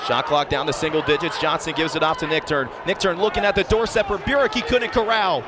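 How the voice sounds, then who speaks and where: A man's voice: fairly high pitch, steady tone, fast. A man doing live lacrosse play-by-play on radio as a sportscaster, describing the action urgently. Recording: very loud crowd and arena noise. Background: loud game crowd.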